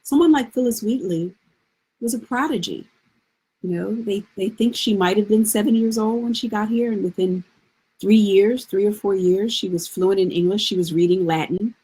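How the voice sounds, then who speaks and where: Woman, literary scholar on a panel: soft dark tone, slightly husky, thoughtful explainer. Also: medium pitch, normal speed, poor audio. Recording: live online event.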